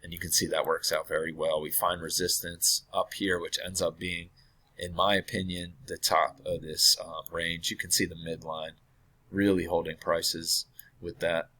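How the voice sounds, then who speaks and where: Man, deep-voiced, hoarse from illness, casual thinking-aloud chart review with throat clearing. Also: level tone, normal speed, bright, forceful tone. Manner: thinking aloud, hesitant with many fillers and self-corrections. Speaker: trading analyst.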